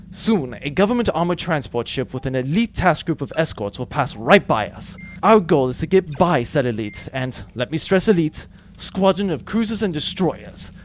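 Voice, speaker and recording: A cocky space outlaw in a comic radio play, acted by a man. His voice sits a little high, wry and theatrical, over faint noise. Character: cocky, wordy male space outlaw crew. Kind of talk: comic audio drama acting.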